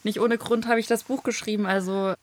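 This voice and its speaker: A young woman from Germany, a novelist guest, talking thoughtfully in an interview with searching pauses and fillers. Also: fairly high pitch, steady tone, dry recording. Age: young adult. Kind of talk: reflective interview talk.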